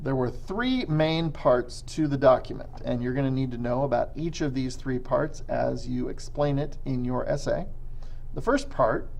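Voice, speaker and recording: A man's voice: mid-range voice, vocal fry, a little mellow. American man teaching a recorded lesson. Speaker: middle school history teacher. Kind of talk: recorded video lesson for students.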